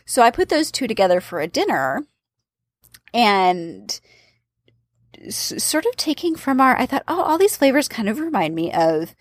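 A woman's voice: on the high side, very animated, moderate pace, dry recording. A woman chatting casually, walking through a recipe step by step. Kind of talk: casual chat about cookbooks.

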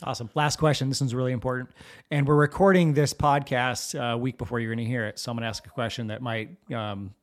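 A man's voice: mid-range voice, steady tone, quick pace. Earnest, rambling male podcast host. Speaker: podcast host, school administrator. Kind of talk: casual interview talk.

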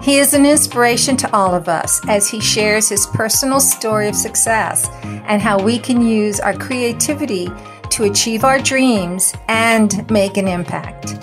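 Woman podcast host, somewhat high voice, earnest and encouraging, faint music behind. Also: a little slow. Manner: earnest, encouraging, reads promos aloud. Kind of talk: scripted intro then interview chat.

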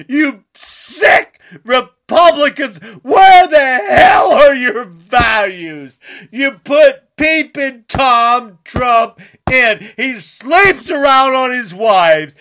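A man from the United States, crying and shouting through an angry rant. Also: very high-pitched. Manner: shouting, tearful, ranting. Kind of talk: angry political rant to camera.

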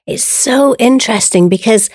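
A woman's voice: on the high side, normal speed, darkish tone, dry recording. A woman from Hawaii hosting an interview, asking chatty, conversational questions. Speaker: interview host.

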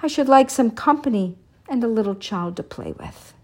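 A woman voicing a kindly old male shoemaker who talks in a gentle, wistful way. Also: on the high side, expressive, moderate pace, pitched above the performer's own voice.

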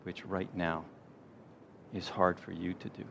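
Low-voiced man leading a gentle guided meditation. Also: little pitch movement. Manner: gentle, unhurried, soothing delivery with pauses. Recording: clear background noise, low rumble.

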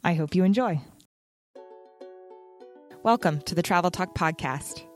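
A woman's voice: lowish voice, measured pace, soft background music. A young adult woman hosting a travel podcast, chatting casually and asking her guest questions. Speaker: podcast host.

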